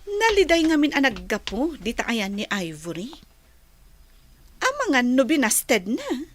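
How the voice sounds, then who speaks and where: An actress playing an excitable, nosy household helper in an Ilocano radio comedy drama, exclamatory and exaggerated, with an Ilocano accent. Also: female voice, sits high, a little slow, a little hiss or hum, heavily acted. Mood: startled, curious.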